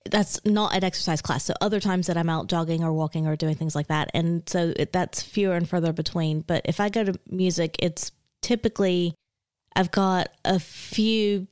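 Female podcast co-host, lowish voice, easygoing and agreeable, dry close sound. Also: fairly even intonation, normal speed, a little mellow, no echo. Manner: easygoing, agreeable, backchannels a lot. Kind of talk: casual chat.

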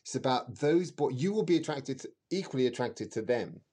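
A man's voice: mid-range voice, expressive, a little fast, mellow. Middle-aged man, emphatic and conversational. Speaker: astrologer guest. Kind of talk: conversational explanation in a podcast interview.

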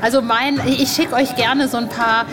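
A woman's voice: a little high, fairly even intonation, fairly quick, background noise. A woman from Egypt hosting a casual podcast interview in an enthusiastic, slangy way. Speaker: podcast host.

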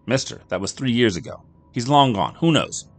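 A man voicing a blunt, streetwise middle-aged bartender who talks rough and ungrammatical. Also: medium pitch, lively intonation, normal speed, dry recording, soft background music, voice pushed up. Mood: puzzled. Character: gruff working-class middle-aged bartender.

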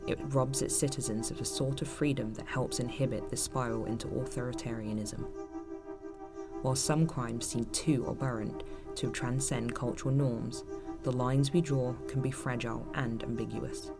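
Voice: mid-range voice, fairly even intonation, moderate pace, gravelly, lightly acted. An actor narrating a dystopian audio drama in a solemn, grave manner, as scripted storytelling. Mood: solemn, questioning. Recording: loud music under the voice. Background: music.

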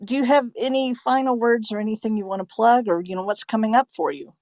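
A woman's voice: a little high, fast, darkish tone, dry recording. A woman hosting a live audio chat show in a conversational way. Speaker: host.

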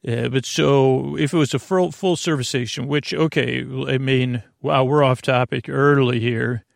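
Creaky-voiced man rambling sleepily. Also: medium pitch, steady tone, measured pace, dry recording, clean studio sound. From United States. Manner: rambling, full of filler words and tangents. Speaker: podcast host. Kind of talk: meandering sleep podcast monologue.

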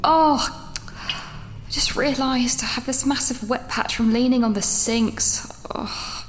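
An actress playing an anxious young student who rambles through a voice note with many restarts, half whispering at first. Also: female voice, on the high side, slow, in a large hall, slight background noise. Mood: upset, rambling. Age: young adult. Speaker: university student leaving a voice note. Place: small echoey toilet room.

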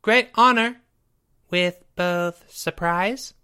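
A man voicing an earnest schoolboy poet who speaks in a high, slow, grandly formal way. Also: sharp tone, clean tone, exaggerated voice.

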